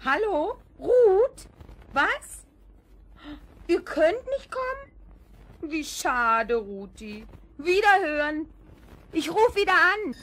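A woman's voice: very high voice, very animated, fairly bright tone, full character voice. A woman playing a busy, scolding mother in a children's radio play. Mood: brisk. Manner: brisk, scolding.

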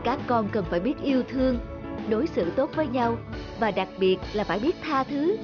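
A woman playing a kind, patient schoolteacher in an animated moral tale, gently instructive. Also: on the high side, fairly quick, dull audio, close to an everyday voice. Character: kind, patient female schoolteacher guiding her pupils. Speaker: schoolteacher character. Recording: music behind the voice.

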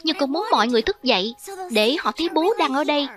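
A woman's voice: sits high, animated, fast talker. A woman voicing a trusting, earnest young male leopard cub in a cartoon dub, with a childlike, pleading manner. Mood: eager, pleading. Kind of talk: cartoon voice-over dubbing. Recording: clear film music and original soundtrack underneath.

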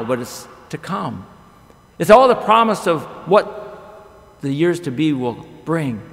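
Middle-aged male pastor, slightly high and gravelly, animated storyteller, podium mic in an echoey chapel. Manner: animated storytelling with wide gestures and rhetorical questions. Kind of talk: chapel sermon. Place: large chapel with long reverb. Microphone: podium microphone.

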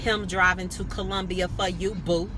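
A woman's voice: on the low side, little pitch movement, normal speed. A woman in her thirties with a Southern US accent, chatting casually and playfully to camera as a family vlogger. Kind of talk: casual vlog chat to camera. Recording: noisy car or busy restaurant, background chatter.

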